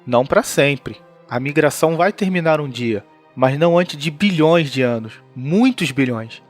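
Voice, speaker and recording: A man's voice: fairly high pitch, animated, normal speed, faint music behind, natural voice. A man playing a reassuring father from the future in an audio drama. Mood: matter-of-fact. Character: reassuring futuristic father explaining to his children.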